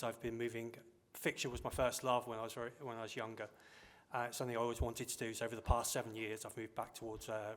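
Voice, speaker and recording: A man, a writer, introducing himself on a panel in a measured way with some restarts. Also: mid-range voice, a bit bright. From England.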